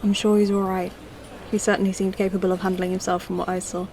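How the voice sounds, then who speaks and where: A woman's voice: mid-range voice, level tone, fast, darkish tone, lightly acted. Sci-fi audio drama: reassuring female companion, actress, mid register, natural, polite, slight background noise. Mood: gentle, reassuring. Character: gentle, reassuring woman posing as an android.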